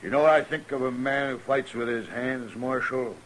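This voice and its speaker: An actor playing a sullen, defensive American gunman in a 1950s western radio drama, resentful and rough-edged. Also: mid-range voice, normal speed, a little hiss or hum, natural voice, poor audio.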